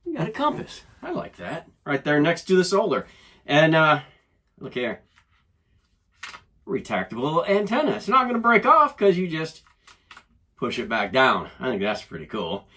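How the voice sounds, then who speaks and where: A man's voice: fairly high pitch, sing-song, moderate pace, long pauses, pushed and bright. A man giving a casual, folksy hands-on demonstration as a DIY host, often talking half to himself. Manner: folksy, talks to himself while working. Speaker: DIY channel host and product reviewer.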